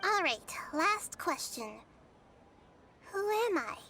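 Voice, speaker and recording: A woman voicing a wise, gentle young girl deity in a video game, patient and teacherly, with a measured, softly formal manner. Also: very high-pitched, very animated, background noise, heavily acted. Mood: pleased.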